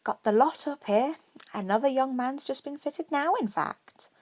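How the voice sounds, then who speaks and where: A high, projected voice for a brisk and cheerful witch shopkeeper, acted by a woman reading aloud. She sounds chirpy and motherly, a bustling storybook character. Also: no echo.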